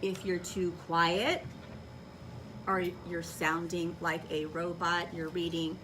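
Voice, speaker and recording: A woman teaching young children in a classroom, giving clear directions and checking understanding with short questions. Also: lowish voice, little pitch movement, slow. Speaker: elementary school teacher. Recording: distant mic in noisy classroom.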